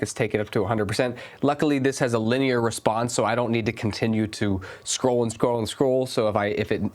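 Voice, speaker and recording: A young man talks through a product review in a relaxed, casual way, clearly a bit stuffed up from a cold. His voice sits at an ordinary middle pitch, and he gets enthusiastic about the gear. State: stuffy, under the weather.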